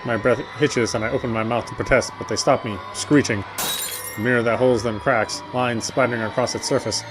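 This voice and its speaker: A man's voice: medium pitch, steady tone, a little fast, background noise. A man streaming a visual novel, reading its story aloud and commenting casually. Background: faint game music.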